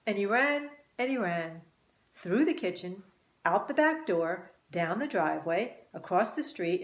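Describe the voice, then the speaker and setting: A woman reading a children's story expressively and deliberately, with dramatic pauses. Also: a little high. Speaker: author and teacher reading own book. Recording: roomy, echoing room sound.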